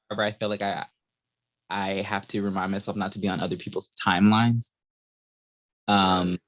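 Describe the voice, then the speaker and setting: Low-voiced man in his thirties hosting. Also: poor audio. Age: 30s. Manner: relaxed, chatty, laughs easily. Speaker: podcast host. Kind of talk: casual podcast interview.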